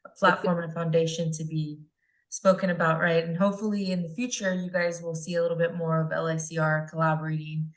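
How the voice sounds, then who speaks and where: A woman's voice: sits low, little pitch movement, brisk, low-quality recording. Upbeat, chatty woman hosting a webinar. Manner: upbeat, chatty, many fillers. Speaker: podcast and webinar host. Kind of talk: casual video-call interview. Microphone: video call.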